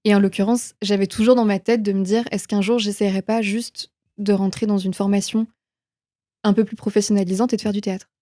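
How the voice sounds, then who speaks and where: A young woman speaks with a mellow, soft-edged tone in a very dry, close acoustic. She is an interview guest chatting informally and reflectively about her own life, reworking her phrases as she thinks them through. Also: mid-range voice, little pitch movement, fairly quick, no echo. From France. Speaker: interview guest, film production manager. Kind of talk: casual conversational interview, personal anecdotes.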